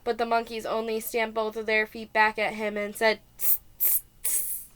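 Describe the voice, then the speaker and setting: A woman preschool teacher reading a children's storybook aloud with asides, expressive and deliberate, stressing key words. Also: a little high, smooth.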